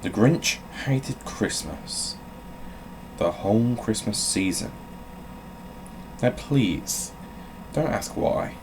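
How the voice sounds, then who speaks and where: A man with a fairly low voice and very slow delivery. His pitch swings widely as he moves between theatrical reading and dry, self-mocking rambling. There is some faint noise behind him. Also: lively intonation, creaky. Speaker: host of a gaming channel. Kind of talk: theatrical storybook reading mixed with casual sweary rambling.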